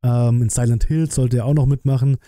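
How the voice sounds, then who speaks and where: Man in his thirties, sharp forceful bright tone, dry close mic, colloquial streamer thinking aloud. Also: mid-range voice, steady tone, fairly quick, dry recording. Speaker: streamer reacting to a game trailer. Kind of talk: casual live-stream commentary.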